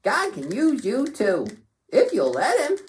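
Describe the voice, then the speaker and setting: A woman voicing an excitable, jokey young boy puppet in playful, exclamatory children's puppet show banter. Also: on the high side, a little slow, voice pushed up. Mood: earnest, upbeat.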